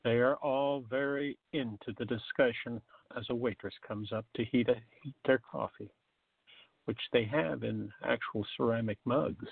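A man narrates a farcical radio play from a script. His voice is gravelly and creaky at a middling pitch, and the sound is dry, thin and phone-like. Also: moderate pace, no room sound. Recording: tinny, narrow phone-like sound.

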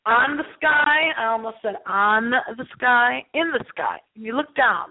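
A woman hosting a live radio show in a rambling, casual, playful way, with theatrical drawn-out asides. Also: a little high, lively intonation. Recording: thin, phone-like internet broadcast audio.

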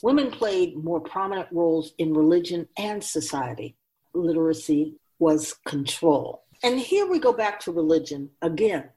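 A woman acts out an otherworldly keeper of souls for radio drama, wise and oracular. The voice sits on the low side and swings widely in pitch, stressing each teaching and posing rhetorical questions. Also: dark tone. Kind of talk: radio drama dialogue, lecturing.

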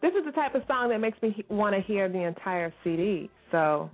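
Brief: playful middle-aged female talk-show host, mid pitch, heard through a narrow phone-like band. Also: animated. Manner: playful, chatty, quick to laugh. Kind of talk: casual talk-show chat. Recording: tinny phone-line sound.

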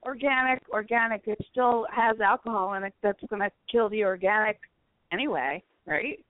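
A woman's voice: medium pitch, normal speed, no room sound. A chatty woman in her fifties calling in to a radio show for a casual, teasing chat over a tinny phone line. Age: 50s. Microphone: phone call.

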